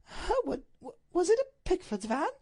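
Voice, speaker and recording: Woman as an anguished high-pitched duchess, melodramatic. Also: very animated, moderate pace, a little mellow, heavily acted. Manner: pleading, breathless, melodramatic. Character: anguished, highly strung English duchess, a wife. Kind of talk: dramatised storybook reading.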